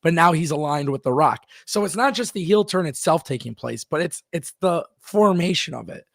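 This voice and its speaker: Guy hosting a wrestling livestream, gravelly voice, dry close mic, keeps stressing his points. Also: a little high, animated, moderate pace, no room sound. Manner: emphatic, repeats words, reads viewer messages aloud. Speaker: wrestling show host. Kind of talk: casual live-stream chat.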